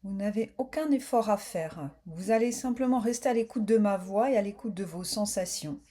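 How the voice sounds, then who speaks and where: A woman yoga nidra teacher guiding a relaxation in a calm, soothing, unhurried way, with slow spoken instructions. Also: medium pitch.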